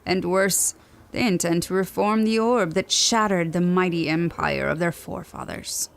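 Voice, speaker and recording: An actress playing a grave, ancient elder of a lost civilization in an audio drama, solemn and stately. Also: female voice, on the low side, steady tone, moderate pace, vocal fry. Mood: grave, mournful.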